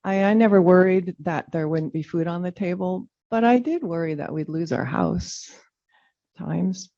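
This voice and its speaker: Low gravelly woman chairing a meeting. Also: warm tone. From California. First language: Danish. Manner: reflective, halting, with frequent ums. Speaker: commission chair. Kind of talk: personal farewell speech at a public meeting. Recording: dull lo-fi meeting audio.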